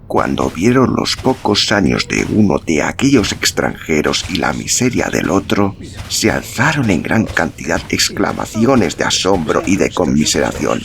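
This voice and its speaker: A man narrating a literary story in a measured, dramatic manner. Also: deep voice, very animated, creaky, fairly bright tone, a little hiss or hum. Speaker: audiobook narrator.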